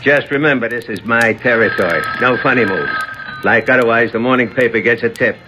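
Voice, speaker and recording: An actor playing a brassy, sardonic American nightclub owner with a mocking, slangy swagger in a 1970s radio drama. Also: male voice, medium pitch, brisk, over music, natural voice. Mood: menacing, territorial.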